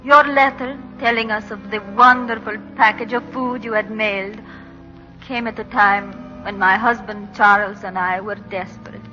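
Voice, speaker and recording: A woman's voice: medium pitch, steady tone, sharp tone, lightly acted. An actress playing a grieving Greek mother who solemnly narrates a letter in a 1940s radio drama. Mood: sorrowful, heartfelt. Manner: solemn, heartfelt, measured. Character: grieving Greek mother writing to her brother in America. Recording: dull 1940s radio, long reverb, music.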